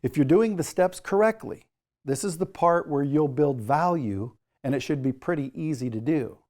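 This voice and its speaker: Mellow-voiced male sales trainer, emphatic. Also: on the high side, moderate pace. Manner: emphatic, jokey asides, coaching tone. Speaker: sales trainer, course instructor. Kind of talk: instructional presentation to camera, direct address.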